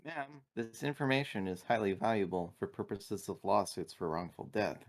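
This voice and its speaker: Dutiful android assistant, played by a man, tabletop game: courteous, measured, fairly low, with lively intonation. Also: natural voice. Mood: coolly polite. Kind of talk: tabletop roleplay in character.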